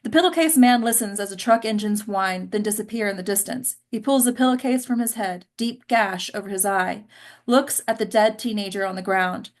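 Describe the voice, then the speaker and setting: A woman's voice: medium pitch, moderate pace. A woman reading stage directions aloud as the narrator at a script table read.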